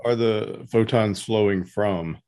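Low-voiced male host, halting questions. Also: a little mellow. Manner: halting, feeling his way through questions. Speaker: podcast host interviewer. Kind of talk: casual interview chat.

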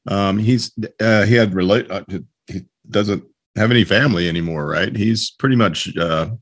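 A man interviewing casually as a podcast host, speaking haltingly as he searches for his words. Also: on the low side, no echo, studio quality.

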